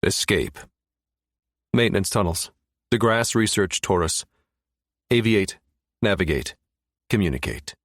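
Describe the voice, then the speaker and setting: A man's voice: lowish voice, animated, a little slow, pauses often, dry recording, studio quality. A man narrating fiction as an audiobook reader, in a scripted storytelling style.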